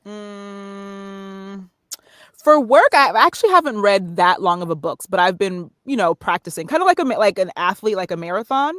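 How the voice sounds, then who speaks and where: A woman's voice: medium pitch, expressive, normal speed, a bit bright, no room sound. Woman guest on a podcast, talky and upbeat, dry close sound. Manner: chatty, explains with acted-out examples. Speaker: guest, voiceover artist. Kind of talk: casual interview talk.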